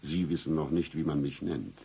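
An actor playing a dry, lovesick boss in a satirical radio play, deadpan with florid turns of phrase. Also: male voice, very deep voice, moderate pace, vocal fry, husky, exaggerated voice. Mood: jealous, sardonic. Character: dry, lovesick male boss hiring staff, pompous romantic.

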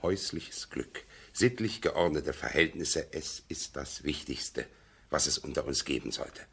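Very deep, husky moralizing go-between. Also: male voice, measured pace, fairly bright tone, heavily acted. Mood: self-satisfied. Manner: sententious, preachy, emphatic phrasing. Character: fussy, moralizing male family go-between. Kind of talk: 1970s German radio play acting.

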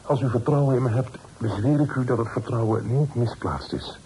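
An actor playing an earnest, secretive grown son, formal and composed, in a period radio drama. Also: male voice, mid-range voice, a little fast, dark tone, natural voice. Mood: earnest, pleading. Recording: noisy old radio play recording.

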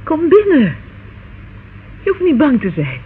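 A woman's voice: high-pitched, huge pitch swings, unhurried, exaggerated voice. An actress playing an anxious, headstrong young woman in a radio drama, questioning and earnest. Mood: eager, gentle. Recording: phone-like, noisy old radio play recording.

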